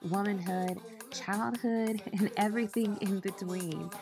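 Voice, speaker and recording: A woman's voice: medium pitch, fairly even intonation, a little slow, over music. A woman, hosting a casual conversational podcast interview. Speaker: podcast host, licensed counselor.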